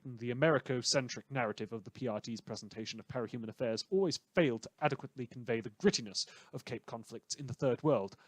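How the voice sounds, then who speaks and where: A man playing a cynical, misanthropic radio host in a post-apocalyptic fan audio drama, speaking sardonically with dramatic, halting pauses. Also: mid-range voice, dry recording, close to an everyday voice. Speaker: fictional radio show host.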